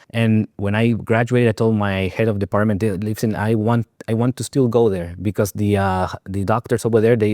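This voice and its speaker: A man's voice: lowish voice, a little mellow, no room sound, clean studio sound. A man from Guatemala speaking English as a second language after Spanish, a doctor telling his career story in an interview, with many fillers and restarts. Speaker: allergist guest. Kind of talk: conversational interview.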